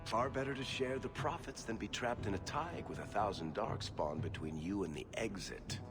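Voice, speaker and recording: An actor playing a wry, smooth-talking dwarf rogue and dealmaker in a video game, persuasive and glib. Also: male voice, on the low side, moderate pace, lightly acted. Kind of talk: video game cutscene dialogue. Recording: music behind the voice. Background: background music.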